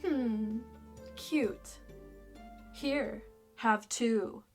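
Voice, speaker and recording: A woman's voice: a little high, drawn-out words, darkish tone, faint music behind. A woman playing a blunt, sardonic young female dragon in a fan fiction audio drama, brusque and casual in manner. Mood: dry, dismissive.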